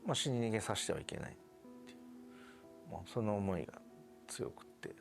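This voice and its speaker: A man speaks as an interviewed doctor. His voice is low and creaky and his words come very quickly, with faint music behind. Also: lively intonation. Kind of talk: interview answer.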